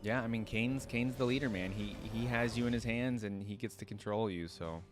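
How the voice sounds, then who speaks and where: A man's voice: fairly low pitch, brisk. A man hosting a reaction video, musing aloud in casual, conversational commentary. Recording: game music behind the voice. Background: music from the game video being watched.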